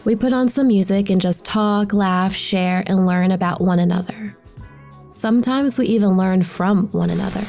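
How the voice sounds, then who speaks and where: An actress playing a casual, playful, slightly sulky teenage daughter in a naturalistic drama, both talking and narrating. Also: female voice, medium pitch, normal speed, gravelly, a little mellow, faint music behind, close to an everyday voice. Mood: fond, reflective. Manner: casual, youthful. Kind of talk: naturalistic screen drama acting with reflective narration.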